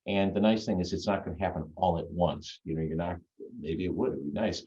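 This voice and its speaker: A man with a deep, full radio voice, chatting as a guest on a video call in an easygoing, rambling way. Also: fairly even intonation, a little fast, warm tone. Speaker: guest magician. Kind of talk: casual chat on a video call. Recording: dull lo-fi video-call audio.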